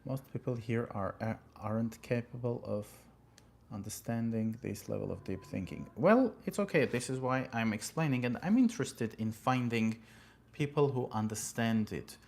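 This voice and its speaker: A man from Armenia hosting a relaxed livestream and chatting with his viewers. He thanks them by name, drifts into philosophy and fills his pauses with uh and um. His voice sits at an ordinary middle pitch for a man. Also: gravelly. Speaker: livestream host. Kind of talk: casual livestream talk reading viewer comments. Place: home in California.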